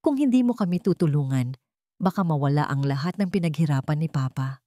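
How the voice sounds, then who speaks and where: A woman's voice: sits low, animated, a little fast, dark tone, no echo, studio quality. A woman voicing a determined daughter and heir, firm and earnest, in a dramatic audio story. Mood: pleading, urgent. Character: determined daughter, young woman heir defending family company.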